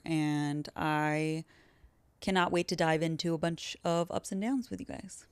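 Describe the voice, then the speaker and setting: Young female podcast host, talkative and upbeat, slightly low smooth voice. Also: no room sound. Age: 20s. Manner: chatty, upbeat, self-described yapper. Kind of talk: casual solo podcast intro.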